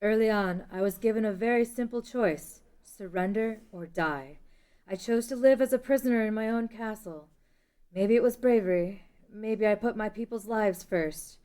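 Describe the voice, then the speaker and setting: A woman playing a grave, regretful young princess in an amateur fantasy table read, solemn and measured. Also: medium pitch, dark tone, clear, resonant tone, close to an everyday voice.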